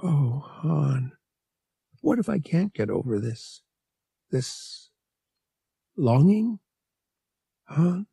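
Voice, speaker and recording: A man voicing an anguished, vulnerable young woman in an audiobook, breathy, with halting, pleading delivery. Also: a little high, big pitch swings, warm tone, no echo, voice pushed up, full character voice. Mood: anguished, yearning. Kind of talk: audiobook character voice.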